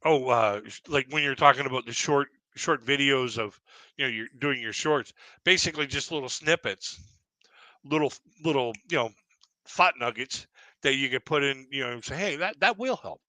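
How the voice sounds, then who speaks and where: A man hosts a livestream chat in a strikingly bright, forceful voice that sounds dry and close, with no room around it. He rambles easily and leans on fillers, keeping the talk loose and friendly in tone. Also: medium pitch, normal speed, dry recording, rough recording. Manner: rambling, heavy on fillers like "you know, like".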